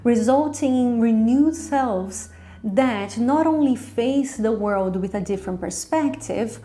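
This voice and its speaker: A woman reviewer delivering semi-scripted commentary in an articulate, explanatory way with measured phrasing. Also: a little high, dark tone. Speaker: drama reviewer hosting own review show.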